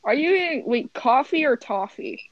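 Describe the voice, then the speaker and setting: A young woman hosts a chat over her computer mic, talking excitedly, swearing freely and laughing easily. Her voice sits a bit high and sounds close and dry, with no room around it. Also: moderate pace, no echo, muffled recording. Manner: swearing, giggly, excitable storytelling. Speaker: host of a friends' chat. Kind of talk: casual chat. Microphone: home computer mic on a call.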